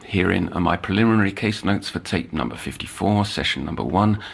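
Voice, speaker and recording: Intense institute counsellor, audio drama. Low, gravelly, articulate, theatrical delivery. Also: male voice, brisk, noisy background. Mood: formal, wry. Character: intense male counsellor at a children's psychiatric institute. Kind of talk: audio drama, dictated case notes and dialogue.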